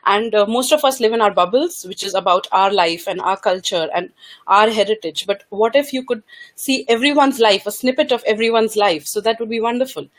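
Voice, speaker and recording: An eager woman in her thirties, raised in Kuwait, speaks as a podcast guest about her parenting work. Her voice sits at an ordinary middle pitch, and she keeps stopping on "uh" and restarting her thoughts. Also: brisk. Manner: eager, many uh fillers, restarts sentences. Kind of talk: casual interview over a call.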